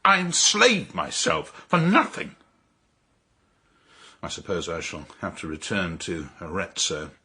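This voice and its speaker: Husky, embittered proud count. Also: male voice, low-pitched, wildly swooping pitch, unhurried, long pauses, sharp tone, heavily acted. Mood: wounded indignation. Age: middle-aged. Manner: indignant, declamatory. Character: embittered, proud, impoverished middle-aged Italian count. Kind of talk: radio drama acting.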